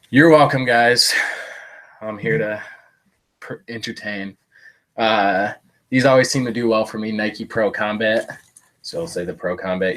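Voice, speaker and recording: This is a man whose voice sits a little low and sounds stuffy and blocked up from a cold. He chats casually to a live-stream audience, meandering and padding his sentences with fillers and offhand self-mocking asides. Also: fairly bright tone. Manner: rambling, lots of "uh" fillers, self-deprecating jokes. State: stuffy, congested. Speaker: thrift reseller streaming a haul. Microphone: live-stream setup.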